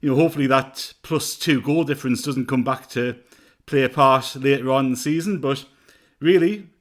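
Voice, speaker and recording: A man's voice: medium pitch, normal speed. A man co-hosting a football podcast over a remote call, giving thoughtful, rambling analysis with plenty of fillers. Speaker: podcast co-host. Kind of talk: casual football podcast chat.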